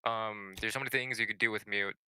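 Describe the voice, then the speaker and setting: A man's voice: fairly low pitch, steady tone, fairly quick, bright, forceful tone, clean tone, no room sound, low-quality recording. A man working as an esports analyst, giving conversational, opinionated commentary on a live video game match.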